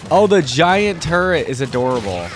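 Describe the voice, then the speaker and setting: A man's voice: fairly high pitch, big pitch swings, normal speed. A young man giving casual running commentary as a reaction host, thinking aloud and breaking off into exclamations. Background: music and game audio.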